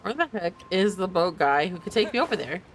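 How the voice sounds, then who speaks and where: A woman's voice: fairly low pitch, very animated, brisk. A woman streaming a game with casual commentary, swearing and muttering to herself as she plays. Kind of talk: casual gameplay commentary, reading dialogue aloud. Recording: music behind the voice.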